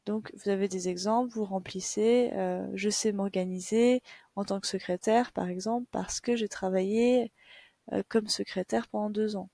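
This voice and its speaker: A woman's voice: medium pitch, fairly even intonation, moderate pace, vocal fry, mellow, dry recording. A young woman giving a patient, step-by-step screen tutorial as a teacher, with many fillers. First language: French. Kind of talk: screen-share tutorial lesson.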